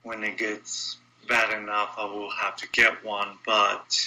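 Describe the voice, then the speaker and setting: A young man with a Brazilian accent, talking as a guest in an interview. His voice sits a little low but comes across bright and forward. He speaks earnestly and candidly, in short phrases he often repeats. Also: monotone, a little slow, low-quality recording. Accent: Brazilian Portuguese. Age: young adult. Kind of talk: interview conversation.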